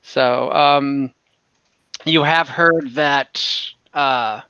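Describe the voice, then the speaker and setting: A man narrating an online fantasy tabletop game as game master, explaining things in a casual, halting way. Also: medium pitch, steady tone, sharp tone, clear, resonant tone, no echo, lo-fi sound.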